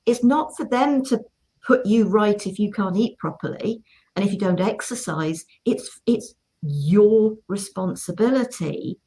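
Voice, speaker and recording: A woman chats casually as a host. Her pitch is average for a woman, and she talks in an emphatic, chatty way, circling back over her phrases. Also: a little mellow, rough recording. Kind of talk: casual chat.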